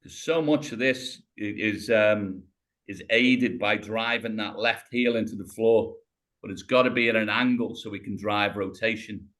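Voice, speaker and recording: A man's voice: fairly low pitch, moderate pace, a bit bright. British male rehab coach, 50s, patient step-by-step cueing, webcam audio. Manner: encouraging, talks a client through each movement step by step, says mate. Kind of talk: coaching exercise over video call. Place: home room, on the floor. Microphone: video call webcam.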